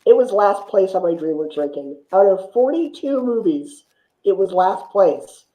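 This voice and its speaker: A man's voice: high-pitched, animated, a little slow, dark tone, roomy sound, poor audio. A man chatting casually about films as a podcast guest, explaining at length with frequent asides. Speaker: guest film reviewer on a podcast. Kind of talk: casual film discussion.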